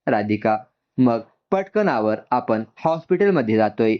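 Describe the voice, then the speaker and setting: Man acting as authoritative woman, storybook character, brisk, businesslike, lively pitch swings, slightly dull sound. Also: close to an everyday voice. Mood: brisk, commanding. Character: brisk, authoritative madam giving instructions.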